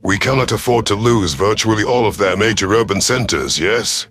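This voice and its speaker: A man plays an alien predator chief in command of a warship. The voice is lowish, formal and authoritative, handing out orders like a seasoned military leader. Faint music sits behind this sci-fi audio story. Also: moderate pace, fairly bright tone. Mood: stern, commanding.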